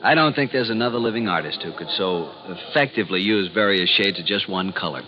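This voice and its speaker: A male actor playing an earnest, excitable and insistent art expert in a vintage radio drama. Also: sits low, a little fast, close to an everyday voice. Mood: curious. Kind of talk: 1950s American radio drama acting. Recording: dull old radio recording.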